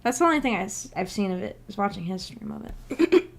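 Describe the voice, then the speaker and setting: A woman hosts a casual livestream in a medium-pitched voice. She is hesitant and self-effacing, often giggly, as she reads chat messages and responds to them. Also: big pitch swings, brisk, slight background noise. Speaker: livestream host. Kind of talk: casual livestream chat, reading and answering viewer comments.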